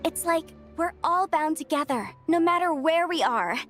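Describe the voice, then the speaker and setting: A woman's voice: very high voice, expressive, moderate pace. A woman playing a bubbly, curious, chatty young woman in a video game, speaking with enthusiasm. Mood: enthusiastic. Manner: bright-eyed, enthusiastic, conversational. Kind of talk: video game character acting. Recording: faint game music behind the voice.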